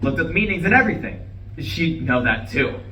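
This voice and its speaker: A man's voice: fairly high pitch, sing-song, brisk, sharp tone. A man reading the part of an earnest, defensive office-worker husband in a live play reading, with a hesitant, over-explaining manner. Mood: hopeful, chatty. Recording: distant mic, echoey room, background noise.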